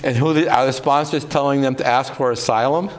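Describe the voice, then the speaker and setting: A man's voice: mid-range voice, fairly even intonation, a little fast. An older man on a political talk show, speaking in an opinionated, conversational way. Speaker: show co-producer and guest.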